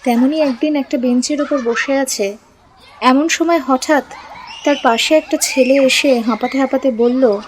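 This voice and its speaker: A woman's voice: high voice, unhurried, a little hiss or hum, rough recording. A woman reading a scripted audio story as its narrator. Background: background music.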